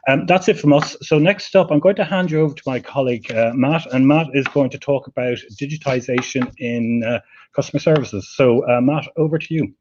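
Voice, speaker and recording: A man's voice: medium pitch, a little fast, a little mellow. Man moderating over noisy lo-fi audio. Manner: polite, with fillers. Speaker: session moderator. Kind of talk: moderating a webinar. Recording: dull lo-fi audio with clear noise.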